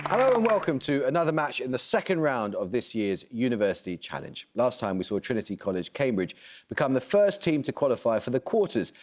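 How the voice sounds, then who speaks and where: A man hosting a televised student quiz, reading questions and scores in a crisp, measured way. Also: mid-range voice, big pitch swings, slight background noise. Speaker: quiz show host.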